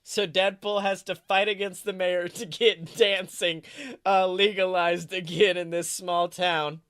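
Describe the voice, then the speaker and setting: High-voiced man improvising comic stories. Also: level tone, a little slow, a bit bright, smooth, no echo, studio quality. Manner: rambling, self-correcting, playfully dramatic. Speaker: podcast co-host. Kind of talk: improvised comic storytelling, casual chat.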